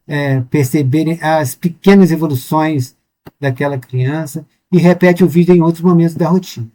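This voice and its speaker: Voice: mid-range voice, mellow. Voz brasileira explicando, tom didático. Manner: informal, with frequent restarts and "tá?", "ok?" checks. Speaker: teacher presenting a course. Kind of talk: online class, explaining to teachers and mothers.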